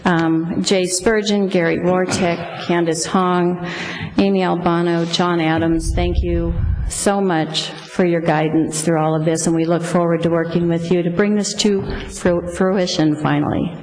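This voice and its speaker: A woman's voice: lowish voice, steady tone, measured pace, a little mellow. Earnest middle-aged woman in an echoey hall. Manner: earnest and effusively grateful, plainspoken. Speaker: public speaker for local car dealers. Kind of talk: public comment at a council meeting. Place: council chamber at a speaker podium. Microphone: podium microphone. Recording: echoey hall with faint hiss.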